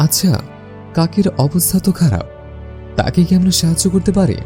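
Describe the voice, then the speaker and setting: A man's voice: high-pitched, very animated, fairly quick, warm tone, soft background music. A man voicing a friendly, sensible male bird in a cartoon, with a mild, earnest manner. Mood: concerned, welcoming. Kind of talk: scripted cartoon dialogue.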